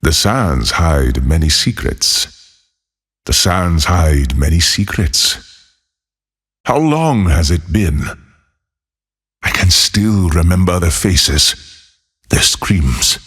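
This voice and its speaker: Deep, husky, brooding desert outlaw. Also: male voice, sing-song, fairly bright tone, heavily acted. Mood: weary, reflective. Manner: measured, solemn, brooding. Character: solitary lawless desert wanderer, haunted male former monk. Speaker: video game character voice actor. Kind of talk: scripted game character voicelines.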